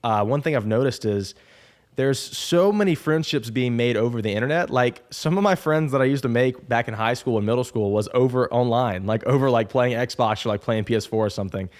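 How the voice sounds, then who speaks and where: A man's voice: mid-range voice, a little fast, a little mellow. A man in his twenties hosting a casual podcast intro, rambling and upbeat with lots of restarts. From Alabama. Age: 20s. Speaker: podcast host.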